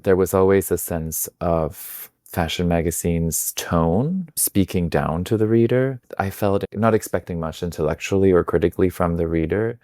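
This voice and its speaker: A man's voice: deep voice, normal speed, few pauses, darkish tone, no room sound, studio quality. A man, answering interview questions in a thoughtful, reflective way. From Denmark. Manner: thoughtful, reflective, with small restarts. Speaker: guest, magazine founder.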